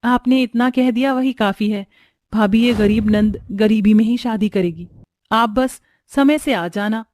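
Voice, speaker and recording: A woman voicing a modest, sweet young woman from a poor family, polite and gentle in manner. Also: on the high side, a little slow, close to an everyday voice. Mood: humble.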